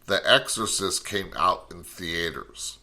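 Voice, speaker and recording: Deep-voiced man hosting a paranormal chat. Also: slow, sharp tone. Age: 40s. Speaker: podcast host. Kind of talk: casual interview chat.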